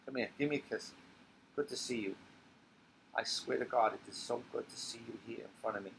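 A man's voice: medium pitch, fairly quick, gravelly, a bit bright, low-quality recording. Stage play: boozy elderly nursemaid, read by a man, sentimental and salty, rambling fondly, some noise behind. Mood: doting, maudlin. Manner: rambling, coarse, doting. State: acting drunk. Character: drunken old woman, sentimental, coarse former nursemaid.

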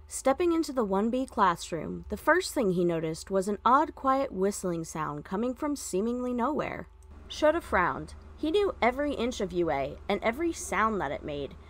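A woman's voice: mid-range voice, sing-song, moderate pace. A woman reading a story aloud as scripted narration. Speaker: fan fiction podfic reader.

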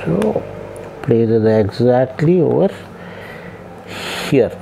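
A young man giving casual step-by-step how-to instructions, explaining things haltingly with pauses as he works. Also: mid-range voice, gravelly, low-quality recording. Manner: halting, explanatory, often pausing mid-sentence while working. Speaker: vlogger giving a how-to demonstration. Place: indoors at home, seated on the floor. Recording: steady ambient room noise.

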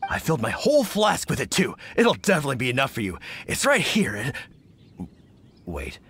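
A man's voice: medium pitch, huge pitch swings, brisk, a bit bright, a little hiss or hum. An actor playing a tipsy, sheepish, doting middle-aged hunter father in a video game, rambling and hesitant. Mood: dazed, confused.

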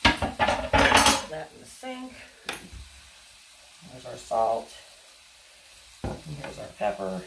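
A woman in her thirties with a strongly gravelly, fry-heavy voice chats casually while she cooks, rambling along with ums and quick apologies. She's recorded on a camera pointed down at her kitchen stove, and a clear sizzle of frying food runs under her talk. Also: very deep voice, wildly swooping pitch, very noisy. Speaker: home cooking vlogger. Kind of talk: casual cook-along chat. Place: home kitchen, cooking at the stove. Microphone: fixed camera angled down at the stove. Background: frying sizzle and stirring.

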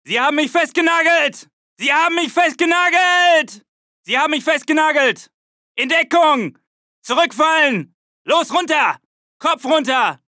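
An actor playing a frantic, hostile German soldier, barking urgent combat shouts for a video game. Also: male voice, very high-pitched, lively intonation, measured pace, dry recording, clean studio sound, heavily acted.

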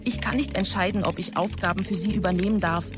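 Dutiful office assistant played by a woman, medium pitch. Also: fairly even intonation, fast, music in the background, close to an everyday voice. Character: dutiful, devoted female office assistant dictating messages.

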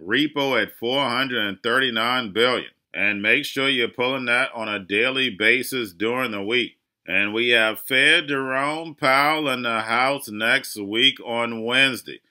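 A man with a very bright, forward voice hosting his own crypto market show. He speaks in an urgent, preachy monologue, addressing his audience as "guys" and driving his warnings home. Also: medium pitch, a little slow, few pauses. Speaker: crypto channel host.

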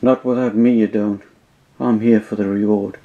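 A man doing a gruff low tracker. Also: steady tone, normal speed, dark tone, muffled recording, lightly acted. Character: gruff male tracker with his dog.

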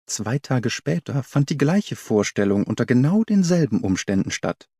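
A man narrates a literary story. His medium-pitched voice moves with animated intonation while the phrasing stays measured and clear. The sound is dry, with hardly any room on it. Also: no room sound. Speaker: audiobook narrator. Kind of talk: scripted literary narration, audiobook reading.

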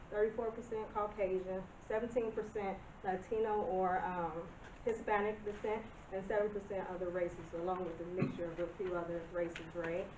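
A woman's voice: medium pitch, darkish tone. A woman in her thirties stands before a village board to present a proposal. She is nervous, restarts her sentences and laughs at herself. Her voice comes from across a roomy meeting hall with faint hiss. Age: 30s. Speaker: business presenter. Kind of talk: public comment at a meeting. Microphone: far camera. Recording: distant roomy lo-fi meeting video.

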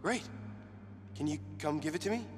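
A man's voice: on the high side, moderate pace, lightly acted. An actor playing an earnest, uneasy young man, a reluctant video game hero who speaks hesitantly. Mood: urgent, insistent. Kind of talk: video game cutscene acting. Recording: echoey hall with music behind.

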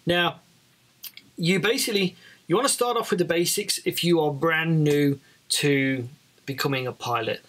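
British guy with a slightly high voice explaining an app, casual how-to style. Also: normal speed, fairly bright tone. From Britain. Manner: informal, explanatory. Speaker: flight training presenter.

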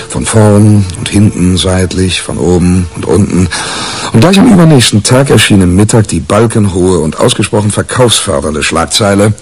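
A wry tabloid reporter with a very deep voice. He tells his story in a detached, detailed way, as in a radio crime play. Music runs underneath. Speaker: narrator and lead character, a newspaper reporter. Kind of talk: radio crime drama narration and dialogue.

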